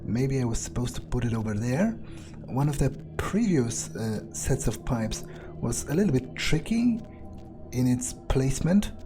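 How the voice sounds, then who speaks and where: A weary male vampire played by a man mutters his thoughts in a halting, unhurried way. He is casually profane and musing, talking to himself through a game, with music clearly playing behind him. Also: medium pitch, very animated. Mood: grumbling, musing. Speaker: gaming video creator playing in character. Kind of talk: in-character game playthrough monologue, thinking aloud.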